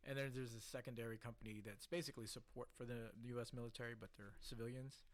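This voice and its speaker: A man from the Philippines, a podcast guest, telling stories from his life in a lively, casual way and often checking in with "right?". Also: mid-range voice, fast talker. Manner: animated storyteller, keeps checking in with "right?". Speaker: podcast guest, casino host. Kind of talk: casual storytelling interview.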